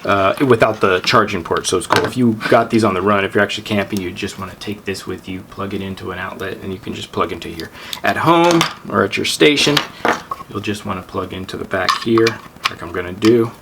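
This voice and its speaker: A man's voice: low voice, a little fast. Man, review host, casual demo chat, informal delivery, heavy background noise. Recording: very noisy amateur camera audio.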